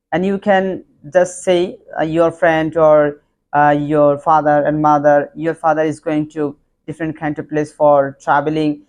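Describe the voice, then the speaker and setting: A man's voice: a little high, darkish tone. Guy, bengali speaker, teaching basic english online, repeats each example sentence over and over. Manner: repeats example sentences in drills, simple phrasing. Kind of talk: online language lesson.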